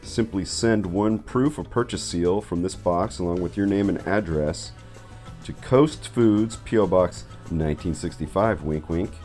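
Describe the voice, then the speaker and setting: Male video host and artist, lowish voice, conversational scripted narration with light music. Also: measured pace, darkish tone.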